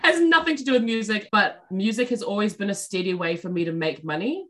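A woman's voice: medium pitch, animated, a little fast, a bit bright. A young New woman, a musician, chats as a guest in a bubbly, jokey way. Her talk is full of fillers and easy laughter, and she speaks. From New Zealand. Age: young adult. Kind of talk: casual interview chat.

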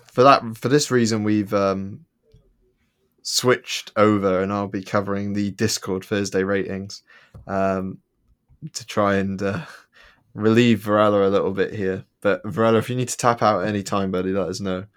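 A man with a fairly low voice co-hosts a casual podcast. He rambles along with plenty of ums and false starts. He keeps throwing in jokes. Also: level tone, moderate pace, a bit bright, clean tone. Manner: rambling, many ums and restarts, joking. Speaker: podcast co-host.

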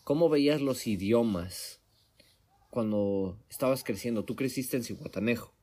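A man's voice: medium pitch, moderate pace, dark tone. A man from Mexico talking casually as the interviewer, correcting himself often as he speaks. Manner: conversational, with restarts and self-corrections. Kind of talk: casual conversation. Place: home room in Sweden.

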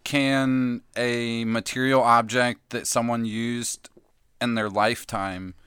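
A man's voice: mid-range voice, little pitch movement, takes it slowly, fairly bright tone, smooth, dry recording. A man co-hosting a podcast, wry and teasing in casual chat. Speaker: podcast co-host.

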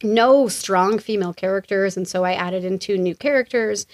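American woman guest on a chat podcast, quick clear talker, lively storyteller. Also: medium pitch. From United States. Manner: fast, clear speech; lively storyteller who acts out other people's lines. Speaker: guest, associate principal and former actor. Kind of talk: casual conversational interview.

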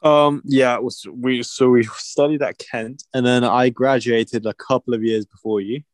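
A man's voice: medium pitch, fairly even intonation, moderate pace, no echo. A young man born in China, chatting casually and teasing his friend with frequent laughs. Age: young adult. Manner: laid-back, teasing, laughs often. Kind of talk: casual chat.

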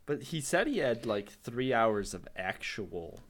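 A man's voice: mid-range voice, a little slow. A man tearing into a bad film in loose, profane banter, his voice jumping up and down as he rants. He sounds fed up and incredulous, swearing freely as he makes his case. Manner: profane, exasperated, animated ranting. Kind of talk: casual movie review chat.

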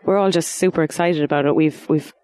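A woman's voice: low-pitched, a little fast, darkish tone. A woman footballer answering interview questions hesitantly and modestly, with many restarts.

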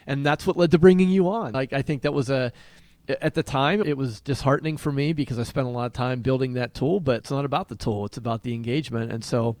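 A man's voice: medium pitch, fast, a little mellow, no echo. A man co-hosting a writing podcast, talking casually and conversationally. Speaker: podcast co-host and writer.

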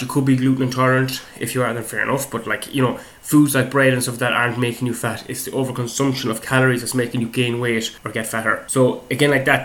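Fast-talking croaky man. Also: medium pitch, fairly bright tone. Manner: rambling, repeats "again, like that", swears casually. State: slightly croaky voice from a cold. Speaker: fitness podcast host. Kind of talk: casual solo chat.

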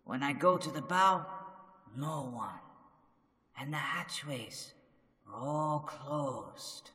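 A man's voice: a little high, animated, takes it slowly, sharp tone, long reverb tail, full character voice. A man playing a frightened, superstitious foreign sailor who speaks broken English, in a radio drama reenactment. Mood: fearful. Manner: broken English, fearful and insistent.

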